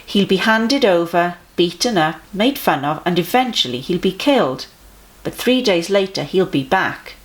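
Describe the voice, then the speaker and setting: A woman voicing a friendly, earnest male teacher in a children's storybook, emphatic and gently instructive. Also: fairly low pitch, expressive, moderate pace, natural voice, poor audio.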